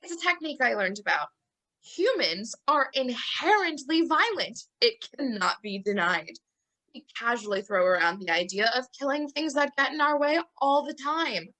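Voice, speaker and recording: A young woman playing a blunt, scheming sorority nursing student in a dramatic reading, emphatic and matter-of-fact about dark ideas. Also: high-pitched, sing-song, normal speed, full character voice, rough recording. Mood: earnest, provocative.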